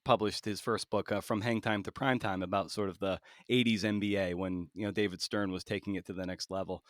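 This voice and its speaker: A man's voice: lowish voice, fairly even intonation, brisk, no room sound, clean studio sound. A man hosting a podcast interview in a casual, conversational way, feeling his way toward each phrase as he rambles.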